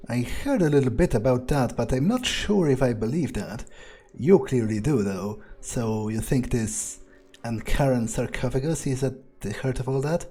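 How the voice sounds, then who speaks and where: A man voicing a wry, cocky fledgling vampire in an improvised game role-play, speaking informally with musing pauses. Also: medium pitch, expressive, warm tone, husky. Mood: doubtful. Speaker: gamer role-playing as his character. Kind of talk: improvised in-character game playthrough.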